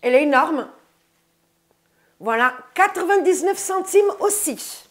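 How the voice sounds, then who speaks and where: A woman's voice: very high-pitched, lively intonation, unhurried. Chatty woman with a stuffy cold. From France. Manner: chatty, says "les amis" often, upbeat. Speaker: vlogger. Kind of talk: casual grocery haul vlog. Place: bright room indoors by a window. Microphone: lapel mic.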